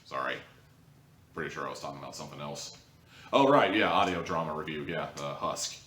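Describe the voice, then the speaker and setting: A man's voice: rumbling low, lively intonation, fairly quick, a bit bright. A man giving a casual, humorous review to viewers, rambling along with wry asides and mock-dramatic emphasis. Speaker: audio drama reviewer, show host. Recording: roomy echo, distant mic.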